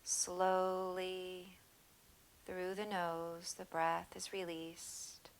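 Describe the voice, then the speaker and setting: A woman yoga teacher guiding a breathing practice with slow, soothing, calm cues. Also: on the low side, a little hiss or hum. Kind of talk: guided yoga breathing instruction.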